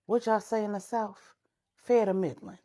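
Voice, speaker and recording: A woman's voice: medium pitch, steady tone, moderate pace, warm tone, voice pushed up, natural voice. A woman voicing a proud, stubborn matriarch who is defiant and dryly sarcastic, in a told story. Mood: wry. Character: proud, stubborn, grudge-holding matriarch, the Queen Mother. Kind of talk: audio storytelling dialogue.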